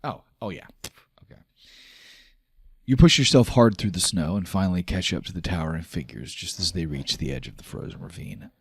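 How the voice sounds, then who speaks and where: Husky, low-voiced man as game master. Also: a little fast, no room sound, studio quality. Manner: dramatic storytelling mixed with casual, sweary table talk. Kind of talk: narrating a tabletop fantasy game.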